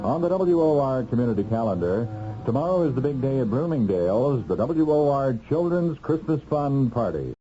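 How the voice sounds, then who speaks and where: An American man works as a radio announcer, reading scripted promotional copy. His medium-pitched voice moves in lively swings. The old broadcast recording sounds dull. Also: moderate pace, background noise. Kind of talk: scripted sponsor and station announcement.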